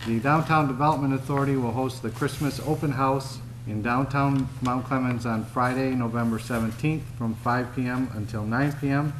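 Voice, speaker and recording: A man gives the city manager's report, reading notices in a steady, formal voice. His pitch sits in the middle range. Faint noise from the room is heard. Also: normal speed, few pauses, low-quality recording. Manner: steady, formal, reads from notes. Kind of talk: reading prepared announcements. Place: city commission chamber.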